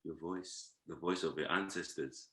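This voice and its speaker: A man's voice: sits low, a little slow, fairly bright tone, reverberant. A man from Zimbabwe, a guest talking in a reflective conversation, thoughtful and repeating words to savor them. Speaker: guest, performing artist.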